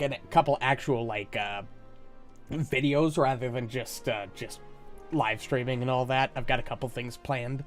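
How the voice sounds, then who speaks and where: A man with a slight cold, chatting casually and hesitantly as a streamer, with lots of "uh"s. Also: on the high side. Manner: rambling, hesitant, many "uh"s. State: slight cold, a little congested. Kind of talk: casual live-stream chat.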